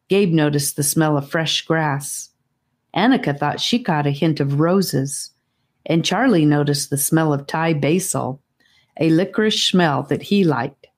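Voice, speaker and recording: A woman with a low-pitched voice narrates a children's audiobook. She reads scripted fantasy adventure prose as a storyteller. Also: darkish tone.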